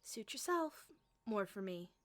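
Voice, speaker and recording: Soft-spoken teasing woman, slow and close. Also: mid-range voice, big pitch swings, no room sound. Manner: playful, teasing, often whispering close to the mic. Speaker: voice actress performing an audio roleplay. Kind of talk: flirty ASMR roleplay.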